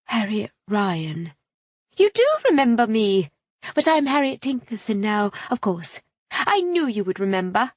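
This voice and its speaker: A woman reading an audiobook, voicing an effusive young milliner who chatters in a high, sing-song voice. The recording is dry. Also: sing-song, measured pace, dry recording. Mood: gushing.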